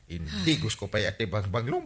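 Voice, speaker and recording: An actor playing an excitable, easily flustered village captain in a radio comedy drama, stammering and exclaiming. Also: male voice, on the low side, fairly quick. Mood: bantering.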